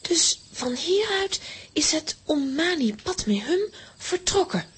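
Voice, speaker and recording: A woman playing an excitable, headstrong young girl in a children's audio drama, eager and emotional. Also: sits high, slow pace, exaggerated voice. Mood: excited.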